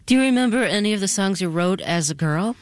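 A woman with an American accent asking questions in a polite, articulate, measured interviewer's manner. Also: mid-range voice, lively intonation, dry recording, studio quality. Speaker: radio interviewer.

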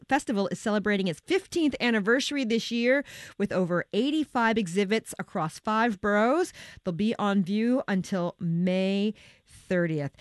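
A woman hosting a radio interview, delivering prepared introductions and questions in a measured, articulate way. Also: mid-range voice, no echo, studio quality.

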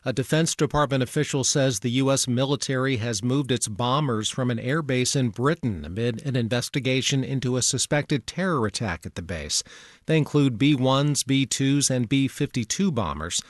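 A man reading the hourly radio news bulletin in a steady, measured way. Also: mid-range voice, dry recording, studio quality. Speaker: newscaster. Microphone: studio microphone.

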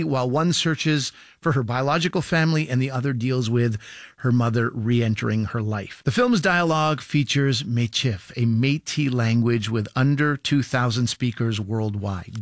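A man reading a scripted radio introduction to an interview segment in a smooth, even way. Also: medium pitch, no room sound, studio quality. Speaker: radio show host.

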